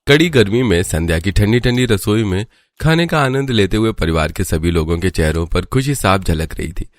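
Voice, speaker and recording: A man's voice: on the low side, expressive, normal speed, no room sound, studio quality. A man narrating a scripted family story as its storyteller.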